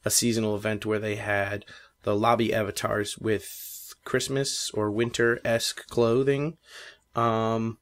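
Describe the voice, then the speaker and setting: A man hosting a casual gaming podcast, rambling with many fillers while his voice is going hoarse. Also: on the low side, little pitch movement, measured pace. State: losing his voice. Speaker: podcast host. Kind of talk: casual chat.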